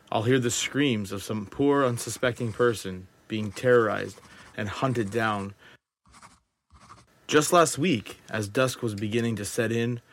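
A man playing a wary, detached survivor of an apocalypse, narrating a journal in a deliberate, reflective audio drama style. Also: mid-range voice, lightly acted. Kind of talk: scripted first-person dramatic narration.

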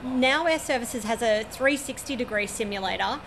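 A woman's voice: a little high, normal speed, fairly bright tone. A woman in her forties with an Australian accent, an air traffic controller giving detailed, matter-of-fact interview answers at a regional airport. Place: at a regional airport, overlooking the runway.

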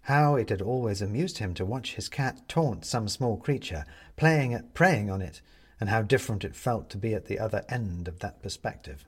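Expressive male storyteller with lively intonation. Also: on the low side, normal speed, husky. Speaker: audiobook narrator. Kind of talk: dramatic scripted story narration.

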